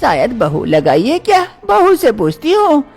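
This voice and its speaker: A woman voicing a bossy but doting older mother-in-law in a storybook tale, who stretches out her loud calls. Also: sits high, wildly swooping pitch, unhurried, creaky, faint music behind, pitched above the performer's own voice, exaggerated voice. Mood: emotional. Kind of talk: storybook voice acting.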